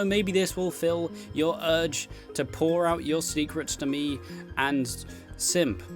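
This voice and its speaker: A man with an average-pitched voice rambles casually as a solo host. He swears freely and pokes fun at himself in a giggly, joking manner. Music plays behind his voice. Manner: sweary, self-deprecating, giggly. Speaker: host, streamer and content creator. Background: background music.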